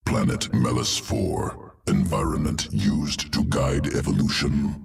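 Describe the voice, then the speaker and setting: A cold alien creature-scientist with an extremely deep, grinding gravelly voice, talking in slow, clipped fragments. The voice sits back from the mic with game music behind. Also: male voice, lively intonation, far from the mic, heavily acted. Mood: clinical. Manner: clipped fragmented speech with no small words, detached.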